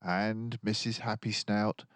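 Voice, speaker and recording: An older man reading a children's story aloud as an expressive narrator. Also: lowish voice, takes it slowly, no echo. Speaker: storybook reader.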